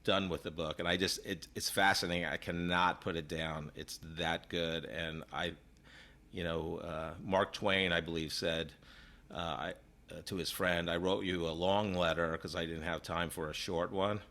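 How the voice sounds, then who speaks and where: A man's voice: sits low, steady tone, normal speed, pushed and bright. A man in his fifties from New York, hosting an interview podcast and talking in a rambling, casual way with many fillers and restarts. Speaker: podcast host.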